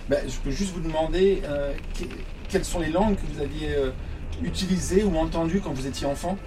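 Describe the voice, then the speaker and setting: A man conducting a recorded interview, asking gentle probing questions with frequent restarts. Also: a little high, brisk. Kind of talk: recorded oral history interview. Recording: distant mic, noisy room.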